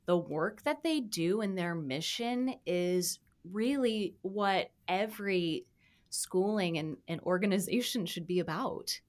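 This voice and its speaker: A woman's voice: mid-range voice, slow. A woman hosting a radio arts interview show, talking in a friendly, enthusiastic way. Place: radio studio.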